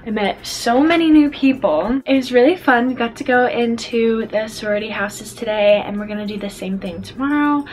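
A woman's voice: on the high side, normal speed, few pauses, rough recording. A young woman in her dorm room, chatting bubbly to a handheld camera at arm's length, full of "like" fillers. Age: young adult. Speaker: vlogger. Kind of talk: casual vlog chat. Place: small college dorm room, indoors.

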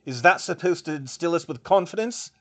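A man's voice: a little high, a little fast, pitched above the performer's own voice, close to an everyday voice. A man voicing an angry male dignitary in an audiobook, snapping in an accusatory, demanding way.

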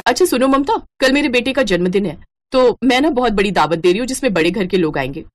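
A woman's voice: fairly high pitch, lively intonation, quick pace, no room sound, clean studio sound, natural voice. Woman playing a haughty rich mistress, bossy. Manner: bossy, scornful.

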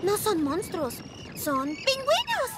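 A woman voicing a plucky, cheerful young girl adventurer in a children's cartoon, eager, expressive and exclamatory. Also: extremely high pitch, takes it slowly, full character voice. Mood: friendly, purposeful. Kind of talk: animated children's cartoon dubbing. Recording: music behind the voice.

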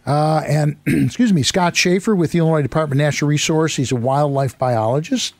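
A male radio host with a hoarse, worn voice, talking in a chatty, rambling, self-deprecating way in a studio. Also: on the high side, brisk, darkish tone. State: hoarse, losing his voice. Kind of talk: casual radio talk. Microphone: studio microphone.

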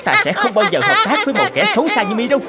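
A man's voice: high-pitched, huge pitch swings, rapid-fire, over loud music, over a noisy crowd. A man voicing a burly, mocking, suspicious hunter in a cartoon, theatrical and blustering. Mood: scornful. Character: burly middle-aged male hunter, gloating, mocking, suspicious. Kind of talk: cartoon character acting.

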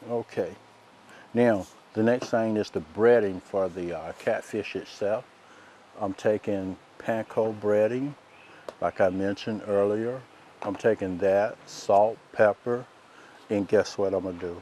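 A soft-spoken middle-aged man, demonstrating a recipe outdoors as a cooking show host in a relaxed, folksy way. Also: fairly low pitch, measured pace, slight background noise. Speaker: chef host. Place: outdoors by a lake in woods.

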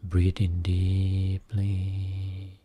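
A man guiding a Buddhist meditation as a teacher, speaking soothingly in short phrases with long pauses between them. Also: low-pitched, completely flat delivery, mellow, slightly rough. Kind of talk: guided meditation.

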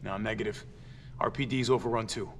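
An actor playing a tough, earnest mercenary soldier in a video game, direct, urgent and a little wry. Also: male voice, on the low side, steady tone, brisk, slight background noise, close to an everyday voice. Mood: reassuring, firm. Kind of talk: cinematic video game acting.

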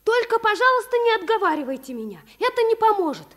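An actress playing an eager, curious, excitable nine-year-old girl in a radio play. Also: extremely high pitch, fast, full character voice. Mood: eager, insistent. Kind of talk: Soviet radio play acting.

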